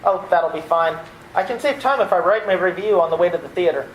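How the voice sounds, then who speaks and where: A woman playing a harried, exasperated young nephew and theater critic, halting and repetitive, in old-time radio theater style. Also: fairly low pitch, natural voice. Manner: halting, harried, repeating himself. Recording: echoey, faintly noisy stage recording.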